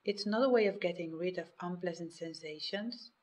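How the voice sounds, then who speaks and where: Woman guiding a meditation, measured phrasing. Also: fairly low pitch, a little mellow. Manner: measured, instructional, short phrases with pauses. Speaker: mindfulness meditation guide. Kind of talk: guided meditation, scripted narration.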